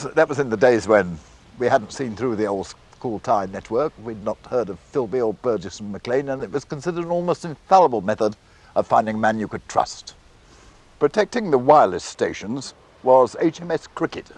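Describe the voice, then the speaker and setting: A British man presenting a documentary, telling the story in a measured, scripted way with conversational asides. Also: mid-range voice, a little mellow.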